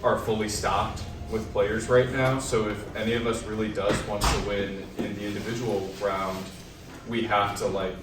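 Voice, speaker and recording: A man's voice: lowish voice, little pitch movement, moderate pace. A young man competing on a student reality show, talking earnestly and rambling a little. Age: young adult. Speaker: contestant. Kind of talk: casual talk. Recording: echoey room, distant mic, music behind.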